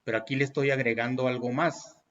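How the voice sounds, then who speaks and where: A man's voice: mid-range voice, steady tone, quick pace, fairly bright tone, highs cut off. A man teaching programming in a tutorial, walking through each step patiently with fillers and self-corrections. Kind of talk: screen-recorded tutorial walkthrough.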